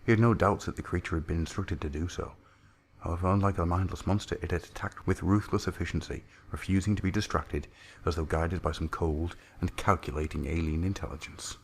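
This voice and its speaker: A man's voice: low voice, fairly even intonation, fairly quick, a little hiss or hum. A man reading a science-fiction horror story aloud as a narrator, in a grave, theatrical way.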